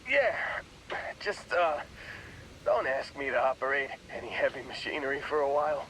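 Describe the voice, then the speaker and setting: An actor playing a groggy young space miner in a video game, talking in a wry, rambling, hesitant way. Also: male voice, mid-range voice, lively intonation, moderate pace, a bit bright, a little hiss or hum, close to an everyday voice, poor audio. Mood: sheepish, wry. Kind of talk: video game character dialogue.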